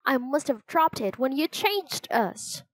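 A woman's voice: sits high, very animated, slow, no room sound, voice pushed up, exaggerated voice, low-quality recording. Woman playing an earnest young storybook boy. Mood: alarmed. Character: young boy hero, earnest, scared but brave.

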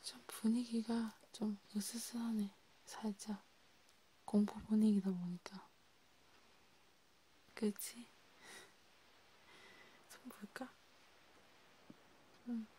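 Asmr girl murmuring and whispering real slow, soft mellow breathy voice, bit of hiss behind her. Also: medium pitch, steady tone. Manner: gentle, hesitant, murmured with long pauses. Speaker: ASMR host. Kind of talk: ASMR roleplay, soft intimate one-sided chat.

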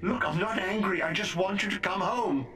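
A man's voice: high-pitched, normal speed, bright, forceful tone, slight background noise. An actor playing a loving, worried middle-aged father in a game, speaking tenderly and hesitantly. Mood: tender, apologetic. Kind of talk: dramatic game acting, phone message.

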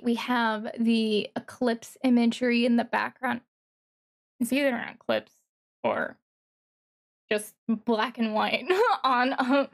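A woman giving casual, excited reaction commentary as a streamer, thinking aloud haltingly with interjections. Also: a little high. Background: music from the watched video.

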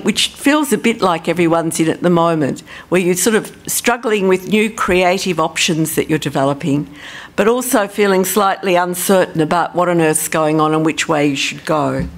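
Australian woman, low voice, conference speaker, relaxed and chatty. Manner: relaxed, self-deprecating, thinking aloud with fillers. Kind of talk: conference presentation.

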